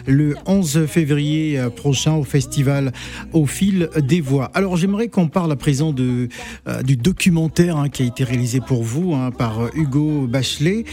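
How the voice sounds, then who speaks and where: A man's voice: on the high side, moderate pace, warm tone, faint music behind. A man hosting a casual radio interview, hesitating often and filling gaps as he asks his questions. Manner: hesitant, full of "euh" fillers, playful. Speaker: radio host.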